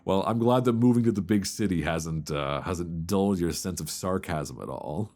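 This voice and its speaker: A man's voice: low voice, unhurried, darkish tone. A man playing a playful, easily flustered old friend in an intimate, conversational romantic audio roleplay, teasing and stammering as he chats. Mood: mock offended. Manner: teasing, stammering, chuckling banter.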